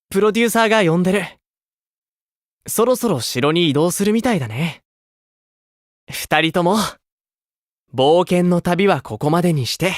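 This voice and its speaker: An actor playing a gentle, playful grown man, a caring older teammate in an idol game, with a coaxing manner. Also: fairly high pitch, dry recording, studio quality. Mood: gently reassuring. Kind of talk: Japanese mobile game voice acting.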